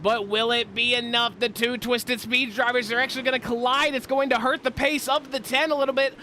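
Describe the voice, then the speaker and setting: Excitable young man calling a race. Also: fairly quick, slight background noise. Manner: excitable, rising pitch at close battles. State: stuffy nose from a cold. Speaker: race commentator. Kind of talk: sim racing play-by-play commentary.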